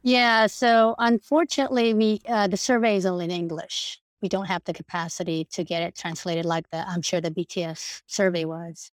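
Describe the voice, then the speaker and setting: A woman's voice: fairly low pitch, fairly even intonation, moderate pace, hardly any pauses, no echo. Chatty woman, Indonesian native speaker. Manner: chatty, laughs along, switches languages. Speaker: podcast guest, researcher. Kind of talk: casual chat.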